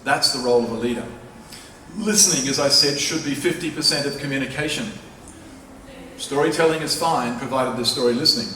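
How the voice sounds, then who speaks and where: A man's voice: mid-range voice, fairly quick, sharp tone. A man giving a public lecture as a professor, speaking in an emphatic, persuasive way and repeating key phrases for stress. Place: large lecture hall at a university. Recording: echoey hall, distant mic, faint noise.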